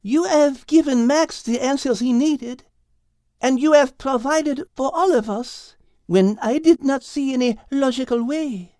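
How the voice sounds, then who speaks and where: A man voicing a gracious, clever female French cat in a soft falsetto with a French accent and polite, measured phrasing. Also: no room sound. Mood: humble, wondering.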